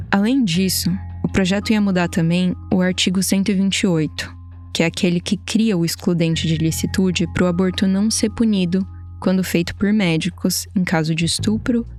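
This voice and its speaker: A woman with a Brazilian Portuguese accent narrating a documentary podcast in a measured, explanatory way. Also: lowish voice, steady tone, darkish tone, soft background music. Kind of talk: scripted narration.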